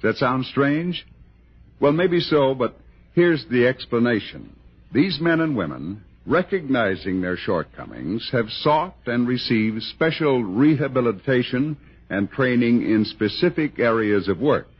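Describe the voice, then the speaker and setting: A man's voice: medium pitch, lively intonation, measured pace, breathy edge. An American man reading scripted announcements and narration in the style of 1960s radio. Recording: lo-fi vintage radio broadcast.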